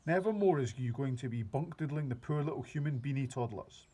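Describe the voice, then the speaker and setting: An excitable big friendly old giant, as a man reads him aloud, tumbling over mangled grammar and made-up words. His voice is husky, and it swings widely up and down as he frets and marvels, in a storybook reading. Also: mid-range voice, brisk, pitched above the performer's own voice, natural voice. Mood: grumbling. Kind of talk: reading a children's book aloud.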